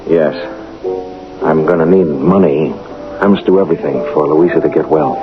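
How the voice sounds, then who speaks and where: An actor playing a gentle, guilt-stricken young husband and football player in a radio drama, hesitant and deferential. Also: male voice, very deep voice, normal speed. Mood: meek, uneasy. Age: young adult. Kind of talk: radio mystery drama acting. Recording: muffled old radio recording with music.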